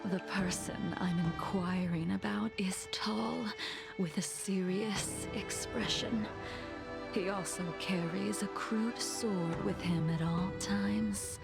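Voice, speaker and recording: A vengeful, ageless swordswoman for a video game. The delivery is slow and solemn, with fry and breathy, near-whispered endings, set over music. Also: medium pitch, fairly even intonation. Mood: cool, intent. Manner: solemn, measured, formal. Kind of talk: English video game voice acting.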